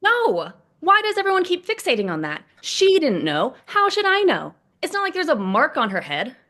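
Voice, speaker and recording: A woman's voice: high voice, huge pitch swings, normal speed, bright, forceful tone, heavily acted. A woman playing a despondent, prickly young man, newly separated, in a sitcom script read. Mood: exasperated.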